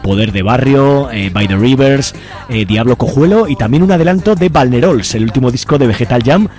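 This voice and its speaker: A man's voice: medium pitch, animated, brisk, a little mellow. A man with an accent from Spain co-hosting live community radio in an informal, chatty way. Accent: Spanish from Spain. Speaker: radio co-host. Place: radio studio, live broadcast. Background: music playing behind.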